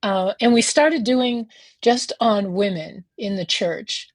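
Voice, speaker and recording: A woman's voice: mid-range voice, slow, dry recording. A religious sister cohosting a podcast, a woman with a Boston accent, talking in a casual, chatty way with frequent laughs and fillers. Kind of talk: casual chat.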